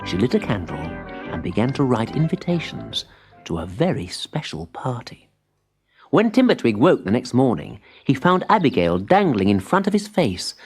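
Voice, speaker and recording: A woman reading a scripted children's story aloud with expressive narration. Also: rumbling low, slightly rough.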